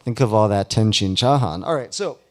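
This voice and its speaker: A man's voice: on the low side, animated, brisk. A man hosting a live ceremony in a casual, informal way with playful banter. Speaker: event host. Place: live awards ceremony before an audience.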